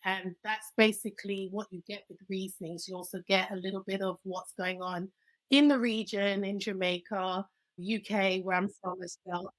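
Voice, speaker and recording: An energetic woman with a British accent talks as a podcast guest, quick to laugh. Her voice sits in a middle register and is recorded dry and close, with no room sound. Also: medium pitch, no echo, poor audio. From London. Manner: energetic, chatty, laughs easily. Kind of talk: casual interview chat.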